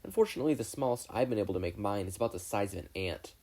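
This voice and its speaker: A man's voice: fairly low pitch, expressive, rapid-fire, a little mellow. A male narrator performs a teenage girl inventor in a hero story. She is snarky and dry, delivering her opinions in a matter-of-fact way.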